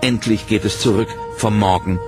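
A man with a lower voice tells a history documentary in a measured, solemn studio voice-over. Loud, dramatic music plays behind his words throughout. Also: fairly even intonation. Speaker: documentary narrator. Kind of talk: scripted documentary narration.

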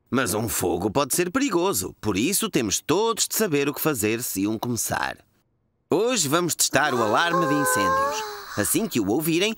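A man's voice: medium pitch, fairly bright tone, no echo, clean studio sound. A man with a European Portuguese accent playing a friendly, encouraging fire chief in a children's cartoon dub. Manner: cheerful, encouraging, explanatory.

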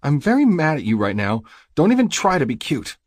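A male narrator plays a small, defiant woman in an audiobook romance. Her voice sits far higher than his own, with a breathy husk. She sounds wounded and indignant, speaking bluntly and casually in a dry, close space. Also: very animated, fast, a little mellow, no room sound. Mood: upset, angry.

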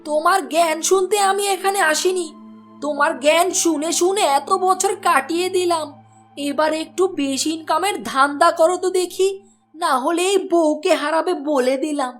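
A woman's voice: very high voice, moderate pace, soft background music. A woman voicing a nagging, scornful wife in a cartoon who scolds her husband. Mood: scolding. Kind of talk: cartoon voice acting.

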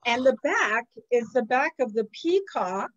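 A middle-aged woman chats over a webcam from an outdoor terrace, a touch sniffly, her fairly high voice sounding muffled and lo-fi. She tells stories with lots of laughter and lively energy. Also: measured pace. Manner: chatty, laughs often, animated storytelling. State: a little sniffly. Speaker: psychic channel host. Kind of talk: casual video call chat. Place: outdoor terrace with potted plants. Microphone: laptop webcam on a video call.

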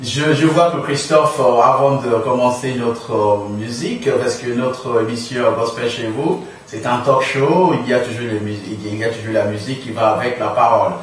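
A middle-aged man hosting an informal radio interview, feeling his way through sentences with halting phrasing. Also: mid-range voice, fairly even intonation. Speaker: radio talk show host. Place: small radio studio room, indoors. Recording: very echoey room, distant, faint noise.

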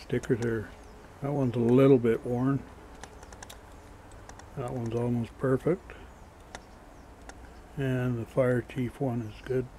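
A man giving a casual show-and-tell as a toy collector, low-key and matter-of-fact, on an amateur camera microphone. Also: flat delivery, slow pace, long pauses, mellow, slight background noise, low-quality recording. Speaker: toy collector showing his finds.

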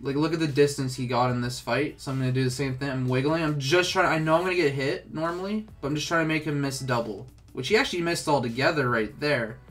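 A man's voice: mid-range voice, fast talker, hardly any pauses, fairly bright tone, faint music behind. A young man with a stuffed-up, congested voice, casually talking through a game tutorial with lots of filler words. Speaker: gaming tutorial host.

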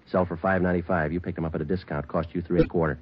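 A low, clipped police detective. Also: male voice, flat delivery, racing, breathy edge. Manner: clipped, matter-of-fact questioning. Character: dogged male police detective, calm and relentless. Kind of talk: 1940s radio acting. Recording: lo-fi 1940s radio broadcast.

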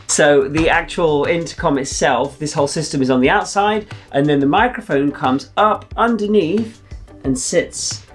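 A man's voice: fairly high pitch, normal speed, soft background music. A young man chatting casually and explaining things to camera as a vlogger, with an enthusiastic, exclaiming manner. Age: young adult. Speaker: travel vlogger. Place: wood-panelled living room.

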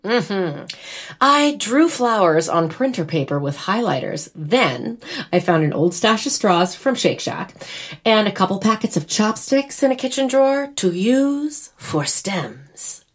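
A wry, wistful young American bride. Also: female voice, mid-range voice, very animated, measured pace. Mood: wry, chatty. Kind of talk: naturalistic audio drama acting.

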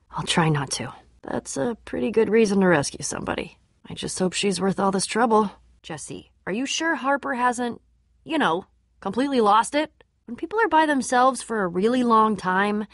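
A woman's voice: mid-range voice, dry recording, clean studio sound. An actress playing a tough, guarded young female adventurer in a video game, casual, candid and dryly sarcastic. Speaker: game voice actor. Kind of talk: video game character dialogue.

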